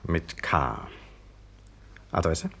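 Stern, insistent police sergeant. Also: male voice, rumbling low, expressive, extremely slow, gravelly, fairly bright tone, breathy edge, heavily acted. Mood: probing, brisk. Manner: commanding, clipped, insistent. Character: stern, suspicious police sergeant interrogating suspects. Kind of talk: 1950s radio drama acting.

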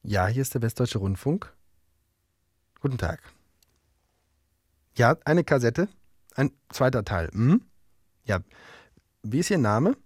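Straight-faced male narrator for satirical radio drama: medium pitch, dry close sound, matter-of-fact. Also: sing-song, takes it slowly, long pauses, no room sound. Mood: businesslike.

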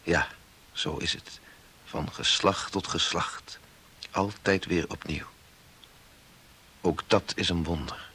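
A man's voice: low voice, slow, long pauses, bright, forceful tone, slightly rough, exaggerated voice. An older actor playing a devout, reflective grandfather who narrates his family's story earnestly and with measure in a 1960s radio drama. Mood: moved, devout.

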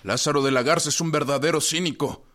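A man's voice: fairly high pitch, fast talker, close to an everyday voice. An actor with a Mexican accent playing an indignant army aide who reports to his general in a radio drama.

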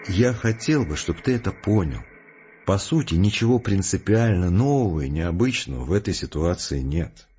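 An actor playing a calm, determined scientist who explains things earnestly and deliberately in an audio drama. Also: male voice, low-pitched, breathy edge, full character voice. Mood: calm, persuasive. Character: resolute philosophical male scientist, calm, determined, reasoning patiently. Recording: muffled, with prominent music behind. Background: music.

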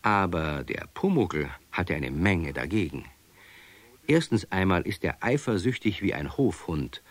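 Radio play storyteller, deep pitch, lively intonation, expressive narration for children. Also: male voice, measured pace. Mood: wry storytelling.

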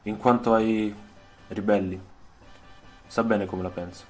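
A man playing a stern army lieutenant in a radio story, giving orders in a calm but hard, authoritative manner. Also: fairly low pitch, a little slow, faint music behind, close to an everyday voice. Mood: calm, firm.

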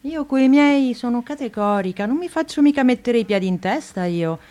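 A woman's voice: fairly high pitch, lively intonation, normal speed, a little mellow, close to an everyday voice. An actress playing Clara, a bossy, self-assured elderly woman in her seventies, who scolds in a matter-of-fact way in a radio play. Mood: lecturing. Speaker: actor.

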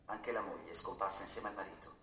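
A man playing a formal, impersonal news announcer, reading a scripted bulletin in measured delivery. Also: deep voice, fairly even intonation, sharp tone. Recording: roomy, distant, faintly noisy sound.

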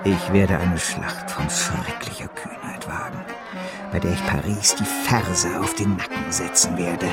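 A man's voice: low voice, fairly even intonation, unhurried, loud music behind the voice. Bold, grandiose male financier. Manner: theatrical, declamatory.

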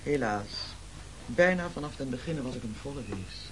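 Man acting a pompous, high-voiced castaway boss. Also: lively intonation, fairly quick, a bit bright, slight background noise, lightly acted, low-quality recording. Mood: pompous self-pity. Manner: pompous, rhetorical, declamatory. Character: pompous, scheming boss of the castaways, mock-pathetic orator. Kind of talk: absurdist comic radio play acting.

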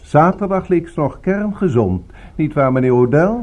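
A man's voice: on the high side, expressive, darkish tone, muffled recording, a little hiss or hum, close to an everyday voice. An actor playing a genteel, courteous but evasive male host in a radio drama, speaking politely and urbanely. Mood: regretful, evasive. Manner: polite, urbane, unhurried small talk.

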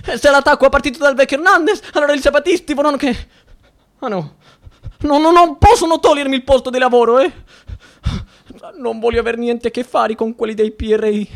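A man's voice: very high-pitched, fairly even intonation, brisk, fairly bright tone. An actor playing an anxious, self-pitying, cowardly male judge in a radio drama, rambling in agitation with questioning interjections. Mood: anxious, desperate. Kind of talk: stage-style radio drama acting.

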